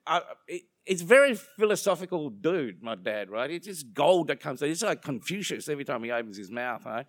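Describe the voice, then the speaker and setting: A man's voice: fairly high pitch, big pitch swings, fairly quick, no room sound, clean studio sound. A young adult man with an Australian accent, being interviewed, talking casually and joking, drifting onto tangents. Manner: rambling, joking, self-deprecating, goes off on tangents.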